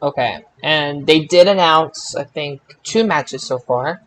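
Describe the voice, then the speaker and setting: High-voiced man recapping sports results. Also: slow pace, low-quality recording. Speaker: wrestling podcast panelist.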